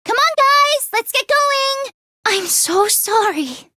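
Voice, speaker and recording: Very high-pitched, earnest anime-game girl. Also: takes it slowly, slight background noise, heavily acted. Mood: rallying, then tearful, apologetic. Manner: expressive, earnest, emotionally heightened delivery. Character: earnest, anxious, emotional teenage girl student defender. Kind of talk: English video game voice acting.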